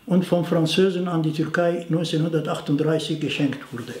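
Older man, halting. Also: a little high, steady tone, darkish tone, reverberant, a little hiss or hum. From Turkey, near the Syrian border. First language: Aramaic. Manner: halting, frequent fillers, non-native grammar. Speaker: interviewee. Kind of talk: explanatory talk to camera. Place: indoor study in front of bookshelves.

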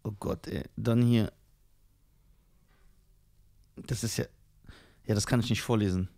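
A man's voice: on the low side, fairly even intonation, a little slow, gravelly, dark tone. A man co-hosting a podcast in casual comedic banter, with an emphatic, teasing manner. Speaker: podcast co-host.

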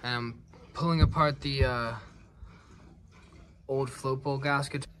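A man's voice: medium pitch, takes it slowly, background noise. A man in his twenties casually explaining a motorcycle repair to a handheld camera in his home garage. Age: 20s. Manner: relaxed, explains hands-on repair steps. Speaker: motorcycle restoration vlogger. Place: home garage and driveway, outdoors and indoors. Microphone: handheld camera at arm's length.

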